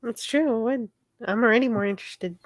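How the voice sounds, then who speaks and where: A woman's voice: a little high, a little fast, dry recording. Woman co-hosting, dry close sound. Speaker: livestream co-host. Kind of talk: casual chat.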